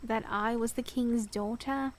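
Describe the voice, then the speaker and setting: A woman's voice: fairly high pitch, steady tone, measured pace, natural voice. A woman playing a loving, proud princess of nearly twenty in a fantasy audio drama, with formal old-world diction. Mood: haughty, reproving. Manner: formal, archaic storybook diction.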